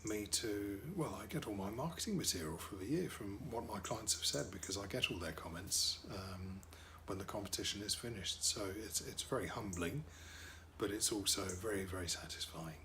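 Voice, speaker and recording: A man's voice: fairly low pitch, normal speed, pushed and bright, slight background noise, rough recording. A middle-aged man, a wedding DJ and MC whose first language is French, talking casually about his work with lots of fillers and repeated words.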